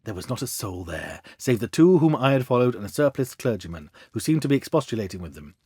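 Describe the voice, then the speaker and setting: A man giving an expressive, theatrical reading of a classic detective story as an audiobook. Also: mid-range voice, fast talker, slightly rough, no room sound, studio quality. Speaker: audiobook reader. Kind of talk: dramatic storybook narration of a classic detective tale.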